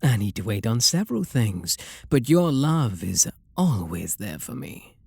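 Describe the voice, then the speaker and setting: A man playing a refined, possessive vampire lord lover in an intimate ASMR roleplay, with a British accent and a soft, breathy, seductive murmur. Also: medium pitch, very animated, unhurried, no room sound, exaggerated voice. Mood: possessive, flirtatious. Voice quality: breathy, gravelly.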